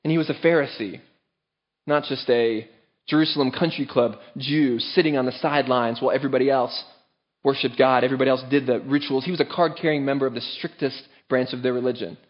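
A man's voice: medium pitch, fairly even intonation, brisk. Earnest preacher man, slightly muffled recording. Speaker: pastor. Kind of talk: sermon.

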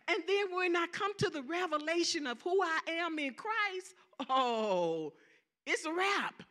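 A woman's voice: very high voice, bright, forceful tone. A woman with a Southern accent preaching a sermon, emphatic, repeating key phrases and calling out for amens.

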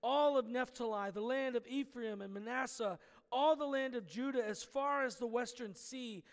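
A pastor delivers a sermon in a scratchy, slightly hoarse man's voice that sits very high. He tells stories with energy and sometimes shouts. The sound carries the long reverb of a church hall. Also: normal speed. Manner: storytelling, animated, shouting in places.

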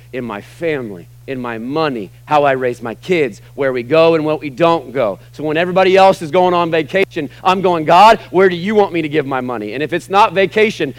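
A middle-aged American man preaching a sermon to a church congregation, emphatic, moving between loud, punchy lines and quiet, low asides. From United States. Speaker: pastor. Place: church sanctuary.

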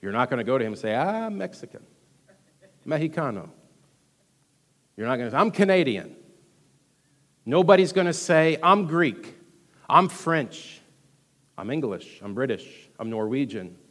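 A man with a Texan accent preaching a sermon as pastor, rushing through some lines and dropping to hushed asides between louder, emphatic ones. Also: mid-range voice. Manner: animated preaching, swings from loud emphasis to hushed asides, quick delivery. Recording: long hall reverb.

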